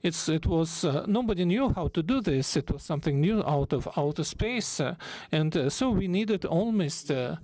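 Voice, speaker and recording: A man interprets live at a conference in a fairly high voice. His delivery is halting and comes piece by piece as he keeps up with the speaker. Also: lively intonation, a little mellow, no room sound.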